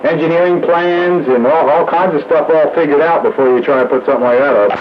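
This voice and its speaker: A man's voice: a little high, fast talker. Man on noisy, muffled radio. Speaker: amateur radio net regular. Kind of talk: casual radio chat.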